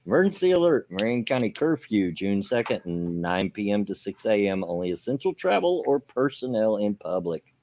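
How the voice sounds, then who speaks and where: A man's voice: fairly low pitch, sing-song, moderate pace, a little mellow. A man with an American accent, co-hosting a gaming stream in casual, folksy, plain-spoken banter, close to the microphone. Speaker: co-host of a gaming radio stream. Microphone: close microphone at a home setup.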